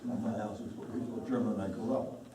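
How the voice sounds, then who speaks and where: A man's voice: lowish voice, steady tone, fast, dark tone. Hesitant man in a very echoey room. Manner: hesitant, frequent restarts. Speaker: panel speaker, scientist. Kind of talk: casual group discussion. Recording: very echoey room, distant mic, noise.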